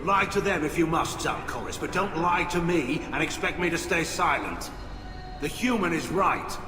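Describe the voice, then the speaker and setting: A man playing a blunt, principled alien admiral in a video game cutscene, speaking gravely. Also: a little high, lively intonation, normal speed, lightly acted. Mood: indignant. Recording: echoey hall, music underneath.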